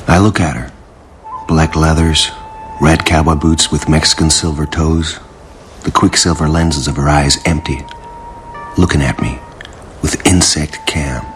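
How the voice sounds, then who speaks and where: An actor playing a weary, guarded young hacker hustler in a radio drama, brooding and terse, with music behind him. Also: male voice, a bass voice, steady tone, measured pace, long pauses, a little hiss or hum. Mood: bleak, haunted. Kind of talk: radio drama acting with brooding first-person narration. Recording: loud music bed under the voice.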